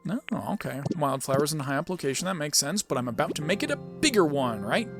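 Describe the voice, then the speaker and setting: A man with a fairly high, very animated voice talks through a game in a casual, rambling way. He thinks out loud as he goes, with clear music playing behind him. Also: fairly quick. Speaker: gaming video host and amateur voice actor. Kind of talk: casual gameplay commentary with read-aloud game text.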